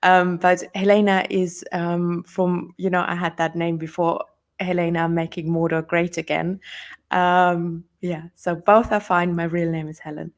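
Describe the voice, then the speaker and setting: German-accented woman, gravelly low voice. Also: level tone, measured pace. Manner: hesitant, many ums, laughs often. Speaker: guest. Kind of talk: casual interview chat.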